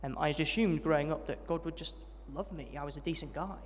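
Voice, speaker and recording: A young man recounts his upbringing at a brisk pace and a slightly raised pitch. He is friendly and self-deprecating, with plenty of ums. His voice rings with the long reverb of a large hall. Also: slight background noise. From Yorkshire, England. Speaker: interviewee, trainee vicar. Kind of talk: testimony interview at a student event.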